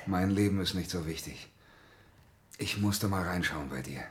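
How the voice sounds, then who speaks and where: An actor playing a tender, fanciful dreamer of a son in a radio drama, speaking in a lyrical, soothing, coaxing way. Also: male voice, sits low, moderate pace, lightly acted. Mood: subdued. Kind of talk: theatrical radio drama acting.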